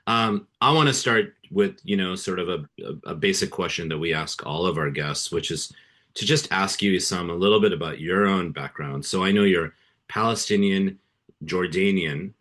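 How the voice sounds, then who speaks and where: A man's voice: low-pitched, normal speed, a bit bright. A middle-aged man hosting a podcast interview, speaking earnestly and hesitantly with many fillers and restarts. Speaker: podcast host.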